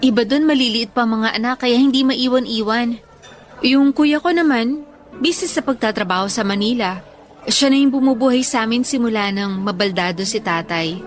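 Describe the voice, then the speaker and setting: Actress as young teacher gal in a radio romance, kinda high voice, soft n earnest, music behind. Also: female voice, moderate pace, lightly acted. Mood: weary, confiding. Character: tired, affectionate young woman schoolteacher caring for parents. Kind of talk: Filipino radio love drama dialogue.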